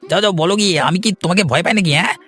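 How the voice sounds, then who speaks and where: A man's voice: high voice, racing, over music, natural voice. A man voicing a sly, two-faced, stammering henchman who blusters, in a cartoon. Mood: blustering. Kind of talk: Bengali cartoon dubbing.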